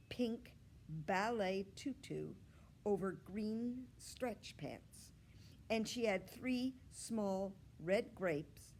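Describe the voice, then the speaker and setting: A woman reading a children's storybook aloud, with deliberate, expressive phrasing. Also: medium pitch, slight background noise. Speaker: library story reader.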